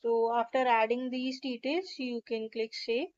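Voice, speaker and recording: High-voiced woman, dull lo-fi recording. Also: steady tone, a little slow, darkish tone. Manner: methodical, instructional. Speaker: software tutorial presenter. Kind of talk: step-by-step screen tutorial narration.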